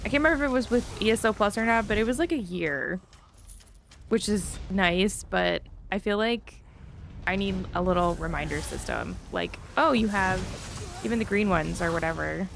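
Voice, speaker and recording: A woman's voice: medium pitch, normal speed, vocal fry, a little hiss or hum. A woman chats casually while live streaming a game, thinking aloud in a rambling, self-deprecating way.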